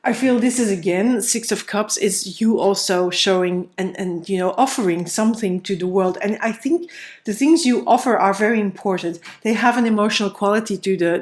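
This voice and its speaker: A woman with a cold and a slightly nasal voice, thinking aloud as she gives a tarot card reading, with many fillers and restarts. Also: mid-range voice. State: stuffy nose from a cold. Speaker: tarot reader.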